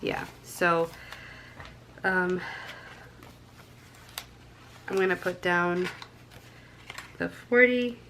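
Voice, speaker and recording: A woman's voice: fairly low pitch, extremely slow, gravelly, long pauses, fairly bright tone, slight background noise, poor audio. Stuffy-nosed young woman chatting casually. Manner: chatty, counting cash aloud, many ums. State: stuffy nose from a cold. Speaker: budgeting vlogger. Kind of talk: casual chat to camera.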